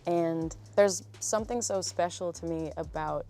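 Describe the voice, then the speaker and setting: A woman's voice: on the low side, measured pace, warm tone, soft background music. A woman singer-songwriter speaking candidly in a casual interview.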